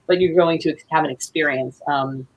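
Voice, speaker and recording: A woman with a low, husky voice speaks as a guest in a casual interview. She is a playwright, and she talks in an earnest, rambling way. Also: fairly quick, rough recording.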